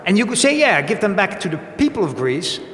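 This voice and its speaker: A man's voice: sits high, big pitch swings, quick pace, a bit bright, a little hiss or hum. Dutch-accented man explaining in an echoey hall. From Netherlands. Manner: explains with many hesitations and tag questions, gestures a lot. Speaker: guest. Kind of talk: onstage talk show conversation. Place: small gallery stage before an audience. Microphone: handheld mic. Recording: echoey hall with long reverb.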